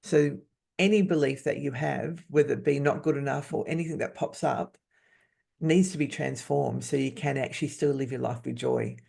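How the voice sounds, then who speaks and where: A woman in her fifties, a podcast guest, speaking candidly and haltingly, restarting words and laughing often. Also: extremely low pitch, fairly even intonation, creaky, mellow. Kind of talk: candid personal interview.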